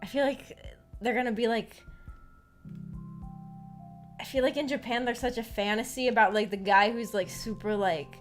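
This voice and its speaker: Giggly, higher-voiced woman chatting on a livestream. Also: steady tone, moderate pace, long pauses. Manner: giggly, hesitant and rambling with repeated words. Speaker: VTuber streamer hosting a visual novel book club. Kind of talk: casual livestream chat. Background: background music.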